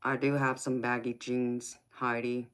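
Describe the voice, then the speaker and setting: Slow, easygoing woman in her forties. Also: a bass voice, flat delivery, smooth. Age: 40s. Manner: easygoing, answers viewer comments by name. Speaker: tarot reader. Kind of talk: casual live-stream chat with viewers.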